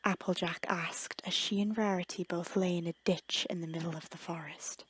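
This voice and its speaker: A woman's voice: lowish voice, steady tone, normal speed, a bit bright, slight background noise. A woman reading scripted story narration as the narrator. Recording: music bed behind narration.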